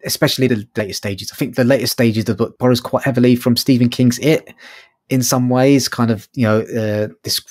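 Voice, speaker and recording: A man's voice: mid-range voice, steady tone, a little fast, darkish tone, no echo. A man co-hosting a podcast, discussing books casually and feeling his way tentatively through his points. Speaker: podcast co-host.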